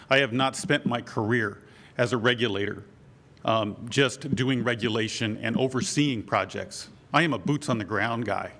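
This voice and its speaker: A man running for office, speaking brisk and assertive at a forum, his voice a bit scratchy from a cold. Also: mid-range voice, sharp tone. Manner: brisk, assertive, rushes then slows down. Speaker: political candidate. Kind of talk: candidate forum statement.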